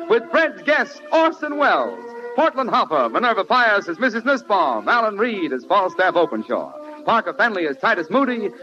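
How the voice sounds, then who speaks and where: An American man announcing a 1940s radio show in a formal, projected style. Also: high voice. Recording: muffled old 1940s radio broadcast.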